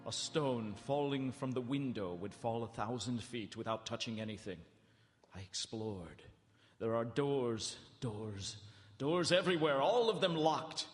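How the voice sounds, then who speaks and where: An actor playing an earnest, frightened captive in a live radio horror drama, with halting, theatrical delivery. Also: male voice, medium pitch, soft background music, natural voice. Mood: anxious dread. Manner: theatrical, halting, anxious repetition. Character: earnest, frightened Englishman held prisoner, narrating his journal. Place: large hall stage, live broadcast. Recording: live theatre hall, long reverb.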